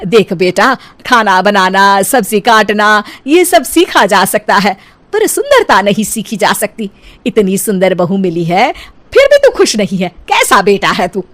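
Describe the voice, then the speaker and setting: A woman's voice: medium pitch, sing-song, unhurried, a bit bright. A woman voicing a proud middle-aged mother-in-law in a cartoon story, motherly but chiding and emphatic. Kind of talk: cartoon story character acting.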